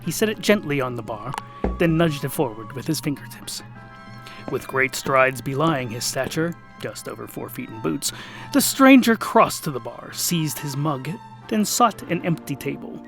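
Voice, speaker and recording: A man narrating a comic fantasy tale as the show's host, theatrical, dropping to hushed whispers and rising to sudden bursts. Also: medium pitch, animated, normal speed, creaky, faint music behind, low-quality recording. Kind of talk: scripted audiobook narration.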